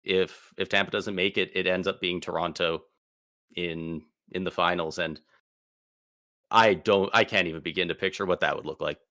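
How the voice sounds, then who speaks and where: A man co-hosting a casual sports podcast, excitable and slangy, speaking with emphatic bursts. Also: low voice, normal speed, dry recording, studio quality. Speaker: podcast co-host.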